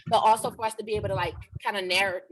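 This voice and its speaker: A woman's voice: medium pitch, fairly even intonation, very fast, slight background noise. A woman voice actor chatting casually and animatedly on a video call from her home. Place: home in Los Angeles.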